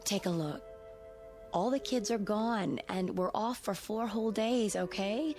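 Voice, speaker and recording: A woman's voice: mid-range voice, unhurried, music in the background, close to an everyday voice. An actress playing a breezy, pushy woman teacher nudging her friend in a radio drama, casual and wry in delivery. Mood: puzzled, insistent.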